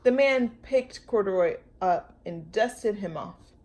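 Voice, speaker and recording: A woman reading a children's picture book aloud as a storytime host, with expressive narration, drawn-out words and playful sound effects. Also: mid-range voice.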